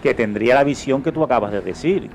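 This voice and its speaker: A man's voice: medium pitch, quick pace, vocal fry, a little mellow, faint music behind. A man hosting a casual radio discussion and moderating the other speakers. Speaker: radio host moderating a panel.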